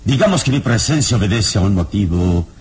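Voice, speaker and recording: A very deep-voiced adventurer hero from a radio serial, calm and courteous. His suave, measured lines carry gentle irony and move in lively, swooping inflections. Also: slightly rough. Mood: courteous, probing. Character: calm, courteous, all-knowing adult male adventurer hero. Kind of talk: Mexican radio serial acting.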